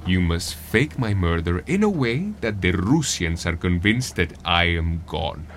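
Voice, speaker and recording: Casting: scheming Latin American revolutionary, bright edgy low voice, emphatic delivery, faint noise behind. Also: male voice, sing-song, a little slow, full character voice. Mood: resolute, scheming. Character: male Latin American rebel leader, earnest and scheming. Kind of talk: audio drama acting.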